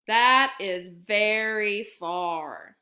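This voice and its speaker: A woman voicing a big adult male hare in a storybook, a gentle, loving parent figure who speaks with deliberate emphasis. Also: mid-range voice, echoey room. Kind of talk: storybook read-aloud character voice.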